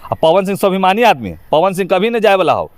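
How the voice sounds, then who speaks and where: Emphatic Bihari man, higher-pitched voice. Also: very animated, fast talker, no echo. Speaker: interview guest, actor. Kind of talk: opinionated informal interview talk.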